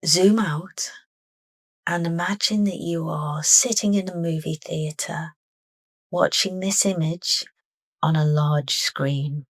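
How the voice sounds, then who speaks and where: A woman leading a guided meditation with soft, unhurried, deliberate phrasing. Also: low voice, steady tone, dry recording.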